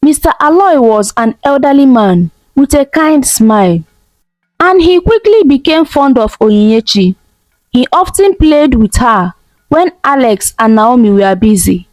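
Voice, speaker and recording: A woman narrating a folktale in a gentle, expressive way. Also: sits high, a little slow, no room sound, rough recording. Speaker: storyteller. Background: soft music.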